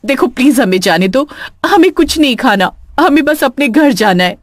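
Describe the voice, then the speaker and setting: Casting: a woman to play an anxious local woman in a horror cartoon, high, expressive, fearful. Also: moderate pace, no room sound, studio quality. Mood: pleading. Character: alarmed local woman warning and pleading fearfully. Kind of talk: cartoon horror story acting.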